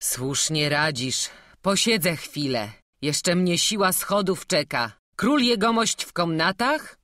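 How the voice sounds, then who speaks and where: A woman's voice: fairly low pitch, expressive, slow, fairly bright tone, dry recording, clean studio sound, pitched above the performer's own voice. A woman voicing an elderly male priest who speaks in curt, commanding orders. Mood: weary. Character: elderly male priest, stern but kindly scholar.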